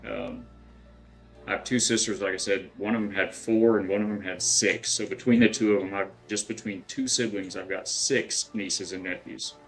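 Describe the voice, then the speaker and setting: Middle-aged guy from west texas, low gravelly voice, rambly easygoing gamer chat, faint music behind. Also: steady tone, normal speed, a bit bright, poor audio. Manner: rambling, easygoing, thinks aloud with many fillers and restarts. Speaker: game streamer. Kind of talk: casual gameplay chat with chat readers.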